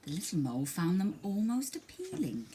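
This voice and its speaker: A woman reading a children's story aloud in an expressive, dramatic way. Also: medium pitch, normal speed, heavy background noise. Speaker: storybook reader.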